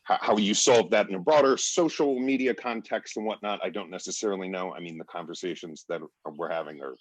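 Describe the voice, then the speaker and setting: A man with a very low, husky voice talks off the cuff in a panel discussion. He circles his point and searches for words. The sound is dry, with no room on it. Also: fairly quick, no echo, rough recording. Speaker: actor in a stage play.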